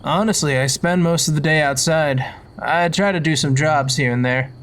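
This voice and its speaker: A man's voice: a little high, moderate pace, fairly bright tone, a little hiss or hum, natural voice. A man playing a humble, down-on-his-luck drifter and recovering addict in a video game, speaking in a hesitant, confessional way. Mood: regretful, confessional. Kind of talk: video game character dialogue.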